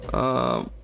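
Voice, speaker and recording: A man's voice: medium pitch, gravelly, a bit bright. A man casually commenting on his co-op game as he plays, musing aloud and laughing often. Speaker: let's play host. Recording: game music behind voice.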